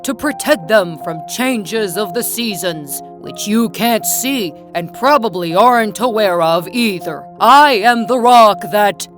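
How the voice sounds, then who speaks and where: A woman voicing a proud, boastful male rock in a storybook tale, speaking deep, slow and lecturing. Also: animated. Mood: haughty. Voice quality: deep, booming. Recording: soft children's music behind.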